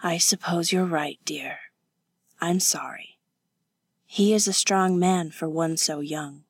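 Woman voicing a stern, hushed young woman, low-pitched. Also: measured pace, gravelly, slightly rough, no room sound, exaggerated voice. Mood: softened. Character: stern, proper, weary woman of twenty-four, protective and scornful.

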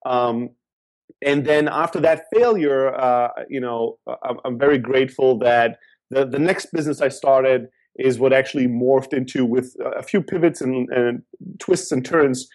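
Male guest entrepreneur, mid-pitched, lively and chatty. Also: measured pace. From Greece, raised in Germany. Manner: energetic, talkative, with fillers and repeats. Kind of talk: interview answers.

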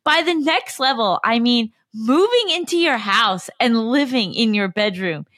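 A woman's voice: a little high, lively intonation, fairly bright tone, no room sound. A woman giving a dramatic comic reading as a gushing, clingy, lovesick woman sending obsessive texts. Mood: impassioned gushing. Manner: dramatic monologue with drawn-out, list-like delivery.

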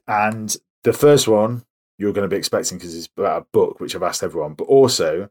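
A man hosting a podcast interview in a casual, chatty, conversational way. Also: sits low. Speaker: podcast host.

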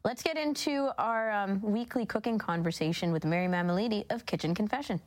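A woman speaks as a talk show co-host. Her delivery is casual and conversational, and her voice has a medium pitch for a woman. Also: fairly quick.

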